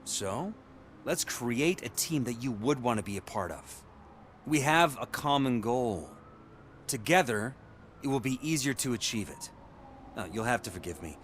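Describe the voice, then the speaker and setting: An actor playing a persuasive city power broker in a video game, with a deliberate, speech-making manner. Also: male voice, medium pitch, lively intonation, a little hiss or hum, close to an everyday voice. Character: smooth-talking, persuasive city power broker, adult male.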